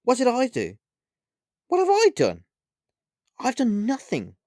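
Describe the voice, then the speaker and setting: A man's voice: high voice, huge pitch swings, slow, fairly bright tone, no echo, clean studio sound, voice pushed up, heavily acted. A man voicing a distraught, emotional werewolf woman in an audiobook, pleading and indignant, repeating words under strain. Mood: shrill, shocked. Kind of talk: audiobook character voice.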